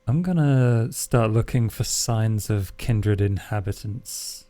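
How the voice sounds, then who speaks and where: A man's voice: fairly low pitch, slow pace, warm tone. A man playing a tabletop roleplaying game, casually describing what his character does. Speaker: player in a tabletop roleplaying podcast.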